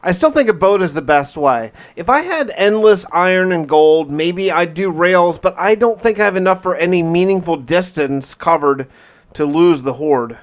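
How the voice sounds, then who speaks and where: A man's voice: sits high, expressive, normal speed. A man narrating a gaming video in a casual, conversational first-person style with a mildly wry manner. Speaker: gaming video host narrating a survival playthrough.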